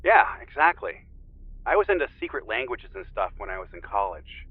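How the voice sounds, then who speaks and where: An actor playing a mellowed, gently teasing Zen teacher, a guest heard through a call filter in an audio drama. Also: male voice, lowish voice, big pitch swings, fairly quick, husky, no echo, narrow, tinny audio. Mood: wry. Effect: remote call filter. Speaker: podcast guest. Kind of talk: audio drama phone interview. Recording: band-limited, radio-like call sound.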